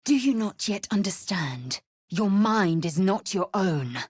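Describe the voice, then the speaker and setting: A woman playing a fierce, haughty githyanki warrior in a video game, with a husky, breathy edge and clipped, imperious delivery. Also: mid-range voice, animated, slow, a little mellow, dry recording, clean studio sound. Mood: cold disdain. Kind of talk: video game character acting.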